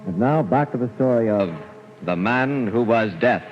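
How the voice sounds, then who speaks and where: An actor as the solemn, measured narrator of a murder mystery in 1940s radio style. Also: male voice, medium pitch, lively intonation, lightly acted. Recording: dull old radio, loud music behind.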